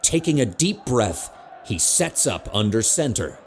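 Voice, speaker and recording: A man's voice: medium pitch, very animated, unhurried, a little hiss or hum. A man narrating an audio drama in a scripted, dramatic storytelling style.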